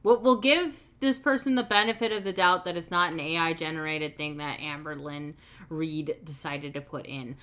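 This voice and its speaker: Woman, reaction host, bright tense tone, sarcastic, skeptical commentary. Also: low-pitched, normal speed. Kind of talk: commentary over paused clips.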